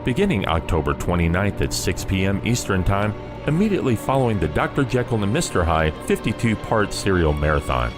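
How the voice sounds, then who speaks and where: A man's voice: low-pitched, big pitch swings, brisk, music in the background. A man reading a scripted radio promo as the station announcer.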